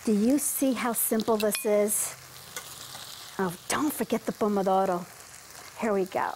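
A woman's voice: medium pitch, unhurried, a little mellow, a little hiss or hum, rough recording. A woman in her fifties, a guest chef demonstrating a recipe in a TV studio kitchen, enthusiastic and sprinkling in Italian words. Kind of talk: cooking demonstration.

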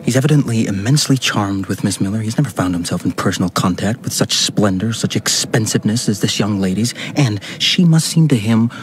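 An actor playing a stiff, earnest young gentleman in a radio drama, speaking in a formal, measured way. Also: fairly low pitch, lively intonation, a little hiss or hum, close to an everyday voice, low-quality recording.

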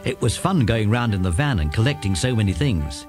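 A man's voice: on the low side, big pitch swings, fairly quick, over music. A man with an English accent narrating a scripted children's audiobook.

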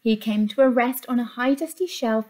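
A woman's voice: a little high, moderate pace, darkish tone. A woman reads a picture book to young children with sing-song emphasis, like an infant teacher. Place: small indoor classroom.

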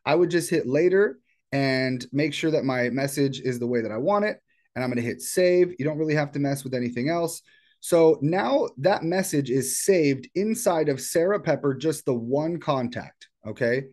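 A man presenting an informal step-by-step software tutorial in a casual, explanatory way. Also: a little high, lively intonation, normal speed. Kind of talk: casual screen-share tutorial. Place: indoors at a computer.

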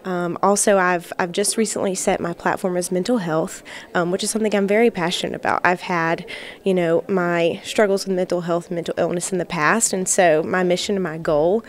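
A woman's voice: fairly low pitch, steady tone, brisk. A woman in her twenties, a pageant contestant, answering an interview question in an earnest, open way on a handheld mic. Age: 20s.

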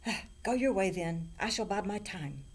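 A woman voicing a wicked, greedy storybook troll with a menacing, booming, chanting delivery. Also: animated, normal speed, husky. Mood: blustering. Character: wicked terrifying male troll, greedy and blustering.

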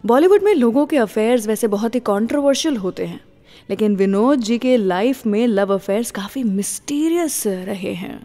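A woman's voice: a little high, lively intonation, normal speed, warm tone. A woman with an Indian accent presenting a scripted radio show as its host.

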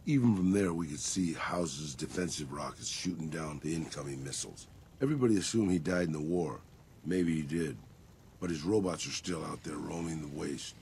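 An actor playing a world-weary old Mexican ghoul gunslinger in a video game, with a gravelly rasp, a Mexican accent and a dry, resigned, sardonic manner. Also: male voice, very deep voice, moderate pace, a little mellow, slight background noise. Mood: reminiscing. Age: older. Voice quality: gravelly, raspy. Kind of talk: video game companion dialogue.

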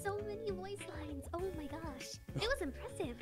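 High-pitched young woman. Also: lively intonation, normal speed, over music. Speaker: stream co-host. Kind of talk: casual chat.

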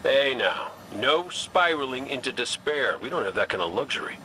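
A man's voice: mid-range voice, very animated, moderate pace, sharp tone, a little hiss or hum, low-quality recording. A man playing a cocky gunslinger robot in a video game, talking in a wry, folksy, colloquial way. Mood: determined. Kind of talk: scripted video game dialogue.

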